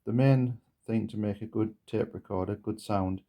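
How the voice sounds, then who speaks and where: Low-voiced man with a creaky edge. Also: fairly even intonation, normal speed, warm tone. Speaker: presenter of a gadget demonstration video. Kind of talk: casual hands-on demonstration, explaining step by step. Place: indoors at a wooden table.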